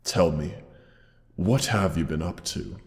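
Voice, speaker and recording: Man acting a deep, slow, caring dragon. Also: a little mellow. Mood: warmly proud. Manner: slow, formal, tender, with dramatic pauses. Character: gentle, caring old male dragon, fond and noble. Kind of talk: roleplay audio drama, scripted monologue. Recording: long cavernous reverb.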